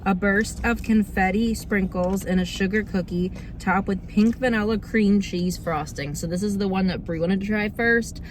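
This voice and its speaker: A young woman with a slightly hoarse, under-the-weather voice, chatting casually and informally as she hosts a food review vlog. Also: medium pitch, normal speed, few pauses, a little hiss or hum. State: slightly hoarse, getting over a cold. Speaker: host of a cookie review video series.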